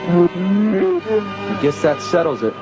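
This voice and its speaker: A man's voice: sits high, extremely slow. An actor playing an eager, earnest young rebel pilot who teases his friends. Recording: dull lo-fi 1980s record with music.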